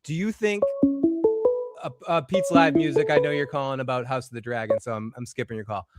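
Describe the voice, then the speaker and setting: A man with a Boston accent hosting a casual call-in show, talking in a quick, chatty way and swearing now and then. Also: medium pitch, fairly even intonation, loud music behind the voice. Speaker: host taking calls.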